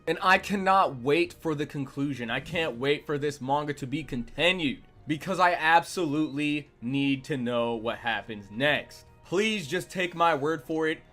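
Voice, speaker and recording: A man's voice: on the high side, moderate pace, hardly any pauses, bright, forceful tone. A man delivering review commentary as a video reviewer. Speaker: manga reviewer. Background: background music.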